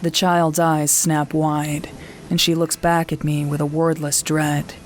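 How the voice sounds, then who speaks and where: A woman voicing a weary veteran warrior nun, narrating in first person with a calm, measured, commanding manner. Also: low voice, slight background noise. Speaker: narrator and squad leader. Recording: music bed under the voice.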